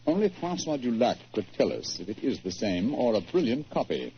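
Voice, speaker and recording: An actor playing a cool, authoritative British detective, precise and formal, in a 1940s radio drama. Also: male voice, mid-range voice, big pitch swings, brisk. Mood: cool, deliberate. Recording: dull, noisy 1940s radio recording.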